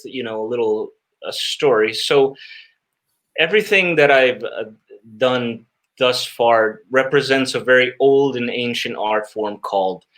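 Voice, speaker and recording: A man, a magician speaking as an interview guest, introduces his act in measured, slightly halting and formal phrasing. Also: medium pitch, fairly bright tone.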